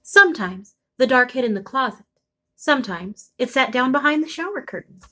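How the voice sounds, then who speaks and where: A woman reading a children's picture book aloud expressively, with deliberate, suspenseful phrasing. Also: high-pitched, lively intonation, sharp tone. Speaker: storybook reader.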